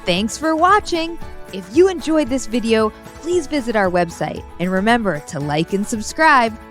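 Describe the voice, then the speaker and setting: A woman reading a children's story aloud in an animated, dramatic way, drawing out words for emphasis. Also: fairly high pitch, moderate pace, faint music behind. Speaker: children's story reader and host.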